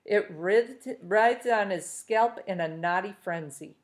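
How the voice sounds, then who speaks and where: A woman teacher talking to her class and reading a novel aloud in an upbeat, encouraging, steady storytelling manner. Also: medium pitch, slow.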